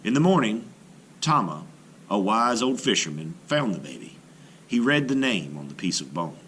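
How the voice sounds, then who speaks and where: A man's voice: lowish voice, big pitch swings, moderate pace, a bit bright, slight background noise. A man reading a children's story aloud with dramatic, carefully phrased narration.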